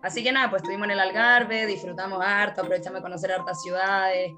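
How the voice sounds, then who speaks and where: A woman's voice: medium pitch, fairly even intonation, quick pace. Chatty Chilean woman, music behind. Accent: Chilean Spanish. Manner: chatty, frequent fillers and laughs. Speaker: interview guest. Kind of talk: casual storytelling conversation.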